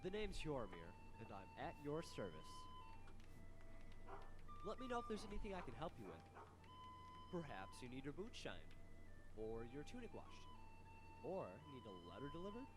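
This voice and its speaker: A man's voice: on the high side, wildly swooping pitch, moderate pace, long pauses. A man voicing a chipper, ingratiating male errand-runner in a fantasy game. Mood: eager. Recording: game audio under music.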